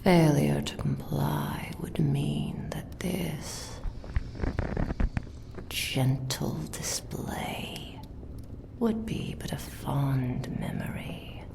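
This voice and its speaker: A very deep, crackling female villain played by an actress speaks slowly and deliberately. She is a cruel demonic mage in an ASMR roleplay, taunting and possessive, murmuring with quiet menace over the one she owns. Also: steady tone, exaggerated voice. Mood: possessive, threatening. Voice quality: breathy whispering, gravelly.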